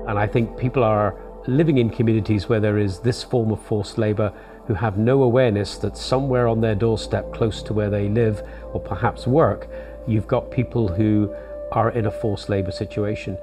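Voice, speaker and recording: A man in his sixties giving thoughtful, measured comments as an expert in a documentary interview. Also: on the low side, fairly even intonation. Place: studio interview setup. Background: soft background music.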